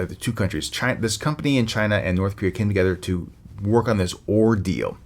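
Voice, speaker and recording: A man hosting a news podcast from his office, sounding a little nasal from a slight cold and delivering the news in an upbeat way with frequent "uh" fillers. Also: lowish voice. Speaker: podcast host.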